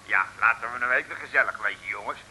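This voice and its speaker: An actor playing a forlornly cheerful Dutch chip-stall owner in an old comic radio serial, chattering and muttering ingratiating asides. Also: male voice, medium pitch, quick pace, pushed and bright, rough recording. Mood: forced cheer. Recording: old radio recording with faint noise.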